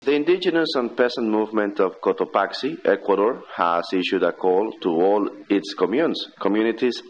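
A man reads scripted radio news in a formal, measured manner. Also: fairly low pitch. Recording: dull, lo-fi shortwave radio broadcast.

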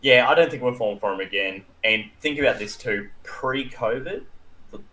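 A man's voice: mid-range voice, expressive, normal speed, pushed and bright, rough recording. A man co-hosting a podcast, chatting casually and giving his opinions with a wry, cynical manner. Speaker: podcast co-host.

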